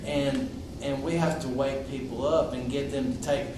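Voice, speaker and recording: Loud outspoken man, echoey room. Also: mid-range voice, steady tone, normal speed, recorded from a distance. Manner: loud, outspoken, with frequent restarts. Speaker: invited speaker at a public meeting. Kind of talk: impromptu public address. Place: public meeting room. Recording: echoey room recorded from afar, noisy.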